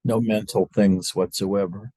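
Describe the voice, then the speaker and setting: Husky, low-voiced man guiding a meditation. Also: fairly even intonation, warm tone, dry recording. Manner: slow, halting, with long pauses. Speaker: spiritual teacher leading guided meditation. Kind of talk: unscripted guided meditation talk to a group.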